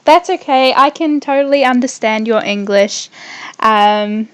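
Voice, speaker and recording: A young woman from New Zealand with a New Zealand accent slightly softened by Australia, chatting on a casual gaming livestream and rambling off mid-sentence as she builds. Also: fairly high pitch, unhurried, smooth. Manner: hums and makes small random noises while working. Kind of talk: casual livestream chat while building in a game.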